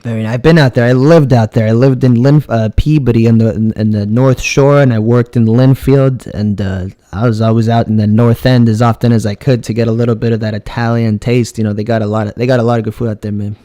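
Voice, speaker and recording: A man's voice: mid-range voice, fairly even intonation, fast, few pauses, warm tone, dry recording, clean studio sound. A man hosting a podcast interview in a casual way, affirming his guest and asking open questions. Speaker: podcast host.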